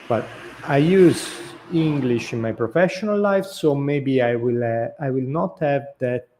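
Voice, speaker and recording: Middle-aged man, native Italian speaker with a light Italian accent, mellow tone, reflective off-the-cuff delivery. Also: medium pitch. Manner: thoughtful, unscripted, many fillers and restarts. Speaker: host. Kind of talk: casual talk.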